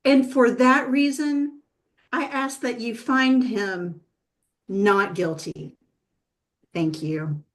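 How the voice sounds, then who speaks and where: A woman's voice: fairly high pitch, slow pace, pauses often. A woman playing a thawed caveman lawyer in a comedy table read, solemnly addressing a jury with naive, mock-humble wonder. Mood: solemn, confident.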